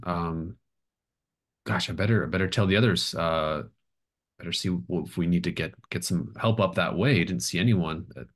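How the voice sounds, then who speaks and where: A man acts out an eager small-town mayor in a tabletop game. The voice is very deep, and the delivery rambles and stumbles, nervously hospitable and over-helpful. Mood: worried. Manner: hesitant, stammering, over-helpful. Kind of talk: improvised tabletop roleplay.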